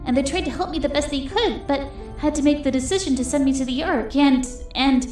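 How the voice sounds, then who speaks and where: Woman as a gentle young girl, high soft voice, earnest, wistful, hopeful, cartoon comic dub, echoey, music behind. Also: fairly quick, natural voice. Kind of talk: animated comic dub acting. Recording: echoey voice under background music.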